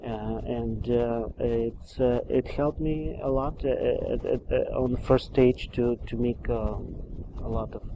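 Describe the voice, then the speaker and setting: A man's voice: medium pitch, steady tone, takes it slowly, dark tone, a little hiss or hum, rough recording. A middle-aged man from Moldova with a Moldovan accent, speaking in a hesitant, filler-heavy way with frequent restarts, answering questions in an oral history interview. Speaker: immigrant interviewee.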